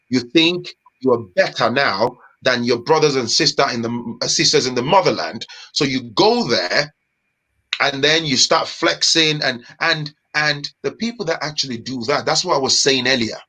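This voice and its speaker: A man from Nigeria, a guest in a casual chat, talking in an animated, emphatic way with street slang. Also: medium pitch, normal speed, breathy edge, poor audio.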